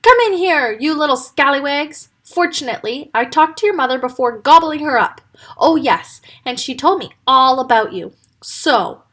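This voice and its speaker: A woman playing a booming, bossy storybook wolf. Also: a bit bright, heavily acted. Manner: booming and firm. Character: big bossy male wolf, blustering but good-hearted.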